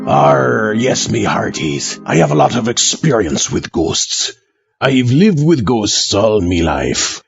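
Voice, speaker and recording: Gravelly, drawling salty pirate. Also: male voice, lowish voice, big pitch swings, slow, dull audio, over music, exaggerated voice. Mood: hearty, boastful. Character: old salty pirate, gleefully gruff ghost veteran. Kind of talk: comedy audio drama acting.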